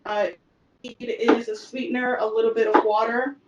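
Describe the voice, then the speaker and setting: A woman's voice: fairly high pitch, level tone, moderate pace, recorded from a distance, muffled recording, noisy background. A woman from El Salvador giving a casual cooking demonstration in her home kitchen, chatty with frequent fillers. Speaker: cooking instructor.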